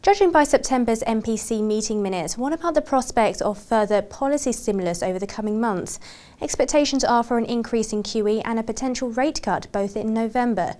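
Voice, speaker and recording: A woman's voice: fairly high pitch, brisk, darkish tone. A woman working as a broadcast journalist, putting scripted interview questions in a formal, polished presenter's delivery.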